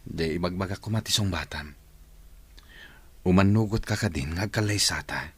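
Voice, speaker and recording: A man's voice: deep voice, moderate pace, slightly rough, no room sound. A man acting a confident husband and businessman in a radio drama. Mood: confident, coaxing. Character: confident husband, a businessman planning family matters.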